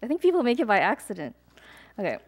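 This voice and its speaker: A young adult woman, a poet, reading her poems aloud at a live event, measured and deliberate, with chatty, hesitant asides between pieces. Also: fairly high pitch, huge pitch swings, husky. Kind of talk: poetry reading with casual asides to an audience.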